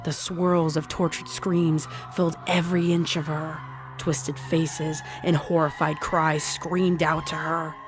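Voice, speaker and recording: A woman narrating a scripted horror story in a deliberate, dramatic way. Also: low voice, level tone, over music.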